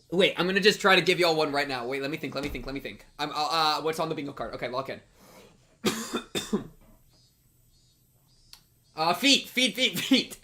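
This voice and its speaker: A man hosting a live game playthrough, excitable and given to repeating his phrases, bantering with chat and reading game text aloud. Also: fairly high pitch. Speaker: livestream host.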